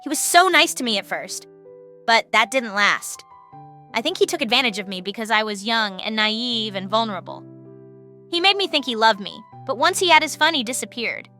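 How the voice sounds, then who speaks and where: A woman voicing a hyperactive, nosy, chatty female character in an animated web series, earnest and dramatic. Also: fairly high pitch, normal speed, faint music behind. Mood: sad, confessional.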